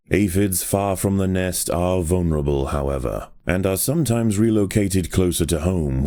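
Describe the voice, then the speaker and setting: A man reading scripted nature documentary narration for a video game in a measured, deliberate, grave storytelling manner. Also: low-pitched, dark tone.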